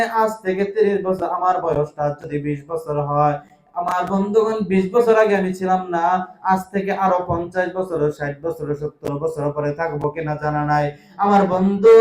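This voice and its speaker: A man with a Bangladeshi accent preaching an emotive Islamic sermon, pleading with listeners in a drawn-out, sing-song delivery. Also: sits high, a bit bright. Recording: echoing sound system.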